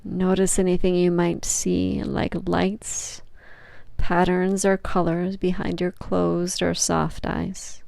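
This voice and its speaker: A woman leading a guided meditation as a yoga nidra teacher, speaking gently and soothingly with long, unhurried pauses. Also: on the low side, completely flat delivery, vocal fry, no echo.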